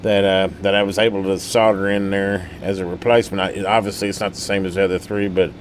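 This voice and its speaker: A man with a fairly low voice talks in a colloquial, folksy manner. He rambles casually as he demonstrates hobby repair work, with a faint layer of noise behind him. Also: quick pace. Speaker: radio repair hobbyist and channel host. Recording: steady background hum and noise.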